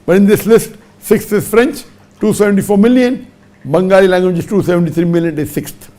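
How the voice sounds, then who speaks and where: A man's voice: high voice, fairly even intonation, a little fast, mellow. A man answering a question in a lecture-style talk. He reels off figures and rankings methodically and punches key words with sudden upward jumps. English is not his mother tongue. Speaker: religious speaker answering a question.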